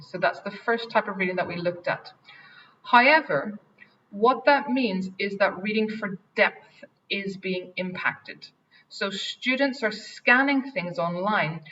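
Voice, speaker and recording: A woman's voice: medium pitch, fairly bright tone. A woman with an Irish accent, head of an English faculty, giving an earnest school webinar talk with frequent ums and restarts. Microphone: video call. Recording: distant, dull online call audio.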